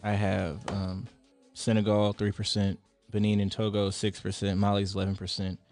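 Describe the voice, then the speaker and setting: A man's voice: fairly low pitch, completely flat delivery, moderate pace, darkish tone. An American man in casual podcast banter, blunt and teasing, with plenty of slang and swearing. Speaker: podcast host. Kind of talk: casual banter among friends. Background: music playing in the background.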